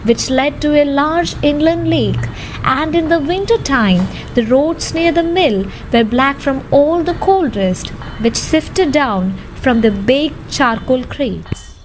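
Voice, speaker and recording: High-pitched young woman narrating a story. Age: young adult. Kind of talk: scripted story narration for amateur radio drama. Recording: clearly noisy, ambient sound behind.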